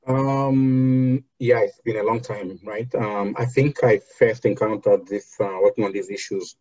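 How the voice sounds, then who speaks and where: Deep, dark-voiced man from Zambia. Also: steady tone, no room sound, highs cut off. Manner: measured, with many fillers and restarts. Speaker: foundation program officer. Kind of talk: interview answers.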